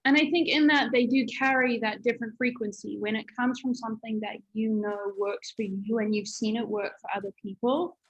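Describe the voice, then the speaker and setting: A woman's voice: a little high, fairly even intonation, a little fast, few pauses, breathy edge, lo-fi sound. Affirming woman co-host. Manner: affirming, enthusiastic. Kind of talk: conversational interview.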